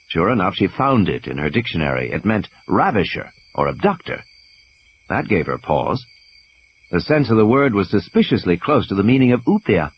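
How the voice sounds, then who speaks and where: A man's voice: fairly low pitch, dull audio. A man reading scripted dramatic narration as the storyteller of an audiobook. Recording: clear background hiss and noise.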